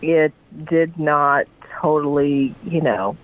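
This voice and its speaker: A woman guest answering in a slow, halting, hesitant way with many pauses. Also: low voice. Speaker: guest, widow. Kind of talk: casual interview talk. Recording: tinny phone line.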